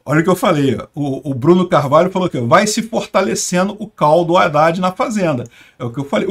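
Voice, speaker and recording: A man's voice: high-pitched, fairly bright tone. A middle-aged man from Brazil hosting casual political commentary, sarcastic and emphatic with scornful asides, talking at a desk in a small room. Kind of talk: casual political commentary over screen share.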